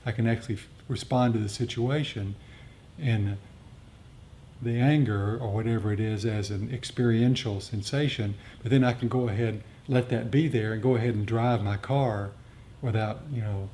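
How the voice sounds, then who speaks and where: A man teaching meditation in a talk with questions and answers, speaking in a halting, reflective, explanatory way. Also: steady tone, mellow. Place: group meditation gathering. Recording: rumbly background noise.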